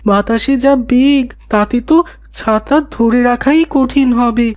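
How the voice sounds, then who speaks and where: A woman's voice: on the high side, steady tone, slow pace, darkish tone, dry recording. A woman playing an earnest, anxious young boy in a cartoon, with a questioning manner. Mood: worried, questioning. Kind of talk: cartoon voice acting.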